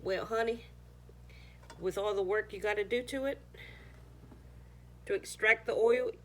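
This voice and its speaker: A woman's voice: medium pitch, a little slow, a bit bright, slight background noise. A woman whose first language is Chamorro, chatting casually through a home how-to video, sometimes searching for words. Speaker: home cooking vlogger.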